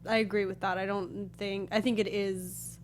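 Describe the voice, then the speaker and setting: A woman's voice: mid-range voice, little pitch movement, normal speed, slight background noise. A woman co-hosting a talk show, chatting casually about video games.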